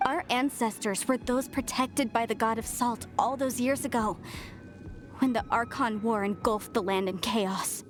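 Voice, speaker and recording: A woman's voice: a little high, fairly even intonation, unhurried, natural voice. An actress playing a zealous young woman devoted to a fallen goddess, speaking in an earnest, impassioned way. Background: game music.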